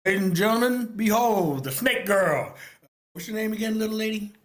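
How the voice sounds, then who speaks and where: A man's voice: high voice, expressive, normal speed. A man voicing a showy older male snake handler announcing to a crowd in a screenplay table read. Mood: showy, proud. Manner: announcing, showman-like.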